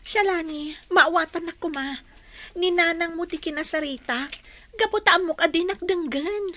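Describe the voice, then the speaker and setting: Casting: concerned young female friend, extremely high bright voice, plaintive, radio drama style. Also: moderate pace, slight background noise. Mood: hurt, pleading. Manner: plaintive, pleading. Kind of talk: Ilocano radio drama acting.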